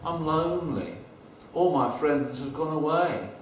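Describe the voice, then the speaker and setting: A lonely little girl who sounds bored and glum, talking slowly in a flat way, as a storyteller puts her on. It is heard from far off in a very echoey room. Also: on the high side, a little hiss or hum. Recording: echoey room, distant mic.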